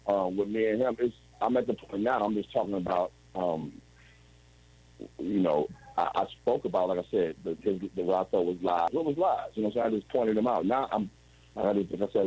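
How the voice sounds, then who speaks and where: A man's voice: deep voice, dark tone, breathy edge, poor audio. A man in his forties telling his story as an interview guest over a video call, rambling and restarting often. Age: 40s. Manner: rambling, with frequent restarts and "you know what I'm saying".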